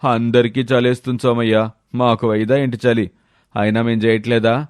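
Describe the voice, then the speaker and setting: A man's voice: mid-range voice, little pitch movement, normal speed, clean tone, no echo, clean studio sound, natural voice. A man playing a hardworking village labourer, blunt and reproachful, in a storybook tale. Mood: scornful. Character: hardworking village men scolding a lazy neighbour. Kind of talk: storybook character voice.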